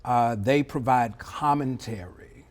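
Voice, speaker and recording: A middle-aged man speaking as an expert interview guest, explaining in a measured, emphatic way and often checking in with a short tag question. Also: mid-range voice. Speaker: former air traffic controller, interview guest. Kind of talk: live TV news interview, explanatory commentary.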